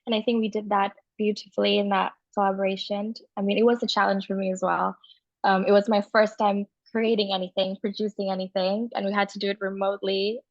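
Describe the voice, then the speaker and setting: A woman's voice: medium pitch, flat delivery, brisk, no echo. Young woman from Indonesia, interview guest, hesitant, level delivery, muffled dry sound. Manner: thoughtful, hesitant with many fillers. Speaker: guest, magazine founder. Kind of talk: casual interview chat.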